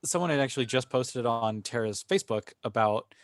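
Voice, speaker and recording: A man talks casually as a fan-show panelist. His pitch is average and the sound is dry and close, with no room echo. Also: lively intonation, a little fast. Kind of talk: casual chat.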